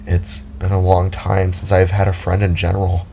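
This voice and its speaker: Man voicing a low, shy, stammering teenage boy. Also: completely flat delivery, moderate pace, creaky, mellow, slight background noise. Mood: awkward, nervous. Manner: hesitant, stammering, flustered. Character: shy, awkward teenage boy spark with small horns.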